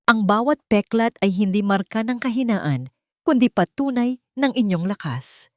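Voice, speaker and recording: A woman's voice: on the high side, animated, moderate pace, voice pushed up, close to an everyday voice. A woman voicing a resilient, hopeful woman survivor in an audiobook, speaking in a heartfelt, earnest way. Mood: hopeful, resolute. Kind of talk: audiobook character dialogue.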